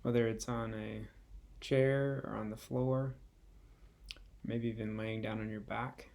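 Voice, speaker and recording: Gravelly, mellow male meditation guide. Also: on the low side, level tone. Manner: gentle, unhurried, with long pauses between phrases. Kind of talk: guided body scan meditation.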